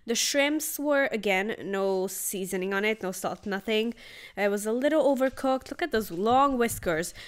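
A woman's voice: medium pitch, expressive, moderate pace. Chatty woman reviewing street food. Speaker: travel vlogger. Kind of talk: casual travel vlog narration. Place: outdoor night market.

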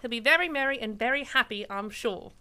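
A woman's voice: on the high side, animated, brisk, pushed and bright. A woman playing a doting, outspoken mother in a radio play, fussing and emphatic. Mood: indignant.

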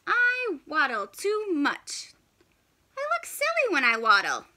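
A whiny little storybook penguin acted by a woman grumbles through endless complaints. The voice is very high and sharp, swinging dramatically in pitch. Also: huge pitch swings, slow pace, heavily acted. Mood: exasperated. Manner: complaining, exasperated, melodramatic. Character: grumpy, whiny young male penguin full of complaints.

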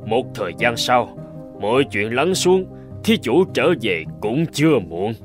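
A kindly, upright monk from a cartoon folk tale. His mid-pitched voice moves expressively as he speaks with formal, earnest courtesy. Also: very animated, measured pace, over music. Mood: concerned, kind. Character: kindly, upright adult Buddhist monk, easily flustered. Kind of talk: Vietnamese animated folk tale dubbing.

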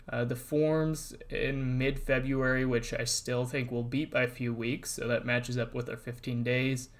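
A man narrating a casual voice-over update on a construction project, explaining relaxed and plainly with frequent ums and uhs. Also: mid-range voice. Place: voice-over, not recorded on site.

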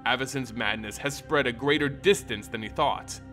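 A man reading a fantasy tale as a dramatic narrator, with theatrical, deliberate phrasing. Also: mid-range voice, sharp tone, faint music behind. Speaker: storyteller, narrator.

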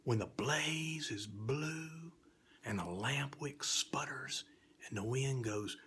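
A middle-aged man reciting a children's poem like a spooky storyteller, swinging between hushed whispers and loud cries. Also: medium pitch, slow, slight background noise. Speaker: storyteller reading children's poetry. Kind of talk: dramatic theatrical poetry recitation for children.

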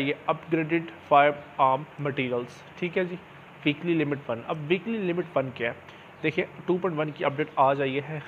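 A man gives a casual, explanatory game tutorial, often adding little check-in tags as he goes. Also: mid-range voice. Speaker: gaming channel host. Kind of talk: casual screen-recorded game tutorial commentary. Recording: dull lo-fi mic with clear background noise.

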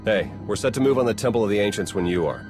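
A wry, low-voiced spy. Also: male voice, animated, fast talker. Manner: casual and dryly sarcastic. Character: wry, easygoing male spy. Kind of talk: video game voice acting. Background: loud game music.

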